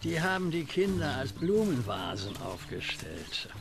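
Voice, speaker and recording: Gravelly folksy old country neighbour. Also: male voice, a little high, big pitch swings, unhurried, heavily acted. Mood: friendly, instructive. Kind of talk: German radio play acting.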